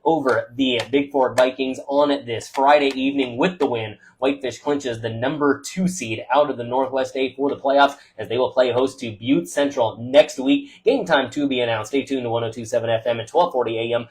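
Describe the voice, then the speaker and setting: A loud, talkative man doing local radio sports commentary after a high school football game. He reels off scores, yardage and playoff seedings in a relaxed postgame wrap-up, with plenty of uh fillers. His pitch sits right in the ordinary middle for a man. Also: normal speed, few pauses. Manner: loud, chatty, rattles off scores and stats with frequent uh fillers.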